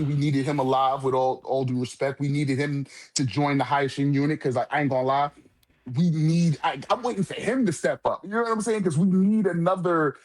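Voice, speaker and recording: A man in a casual manga discussion, talking in an emphatic, profane, slang-heavy way as a commentator. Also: a little high.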